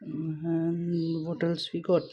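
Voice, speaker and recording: A man casually talking through a product unboxing without a script, thinking aloud in a halting way as he goes. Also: a little high, monotone, husky, dull audio. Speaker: gadget unboxing vlogger. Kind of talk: casual unscripted product walkthrough.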